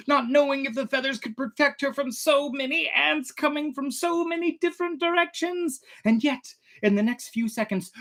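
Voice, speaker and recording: An American storyteller with a mid-range voice that rises and falls dramatically. The delivery is expressive and casual, like a host reading tales and chatting with listeners. Phrasing is theatrical yet informal. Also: medium pitch. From United States, Oklahoma. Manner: dramatic, expressive when narrating, chatty and self-deprecating in asides. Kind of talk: animated storytime reading with casual fun-fact asides.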